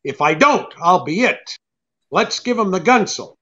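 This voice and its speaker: An actor playing a tough, shrewd private detective in a radio drama, brisk and commanding. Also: male voice, high voice, big pitch swings, bright, forceful tone, muffled recording, exaggerated voice. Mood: insistent. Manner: brisk, hard-boiled, commanding. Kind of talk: old-time radio drama acting.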